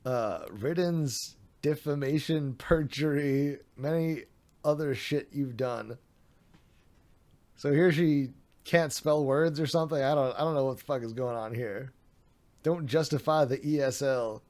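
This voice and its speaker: A man's voice: medium pitch, measured pace, darkish tone. A man streaming, reading a document aloud with sarcastic, mocking asides, his voice getting a little worn.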